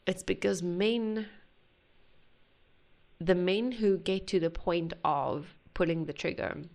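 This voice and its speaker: A woman hosting a music reaction video, talking earnestly and haltingly with many pauses. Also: on the low side, steady tone, a little mellow. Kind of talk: casual reaction commentary.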